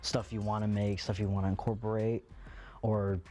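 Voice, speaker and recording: A young adult man giving casual interview answers, halting and repeating himself as he goes. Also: lowish voice, level tone, warm tone, slight background noise. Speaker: older mentor to students.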